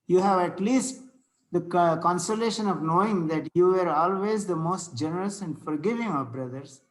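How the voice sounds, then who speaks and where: A man reading the part of a pious, pedantic, gentle clergyman in an amateur table read, formal and a little halting. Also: sits high, lightly acted, low-quality recording. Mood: solemn sympathy.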